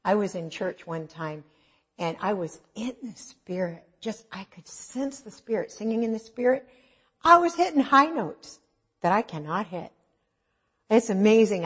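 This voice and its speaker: A woman with a low voice preaching an informal, earnest sermon, swinging from loud to soft. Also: husky.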